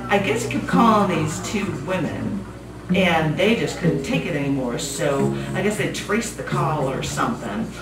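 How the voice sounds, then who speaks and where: A woman's voice: sits low, animated. Woman performing a distant, echoey bitter woman prisoner. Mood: agitated storytelling. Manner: halting, rambling, conversational with stammers. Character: restless, bitter imprisoned woman, rambling and defensive. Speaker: poet performing live. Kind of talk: dramatic monologue read on stage. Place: small cabaret venue, live show. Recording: distant echoey live venue, loud music behind. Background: loud music.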